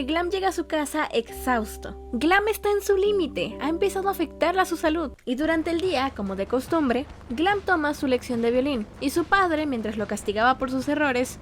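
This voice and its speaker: A woman with a high-pitched voice narrates a character story for a video essay. She tells it expressively and earnestly, with a dramatic storyteller's delivery. Music plays behind her. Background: background music.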